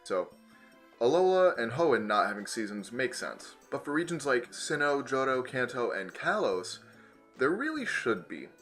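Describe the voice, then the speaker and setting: A man with a cold, slightly raspy and hoarse, hosting an educational channel and explaining science in a casual, enthusiastic way. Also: mid-range voice, very animated, moderate pace, fairly bright tone, soft background music. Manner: enthusiastic, conversational, with filler "you know".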